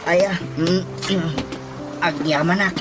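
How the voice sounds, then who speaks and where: Slow-talking humble blind man played by an actor. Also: sits high, fairly even intonation. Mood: hesitant, grateful. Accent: Ilocano. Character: humble blind man getting by, good-natured. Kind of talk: radio drama acting. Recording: music loud behind the voice.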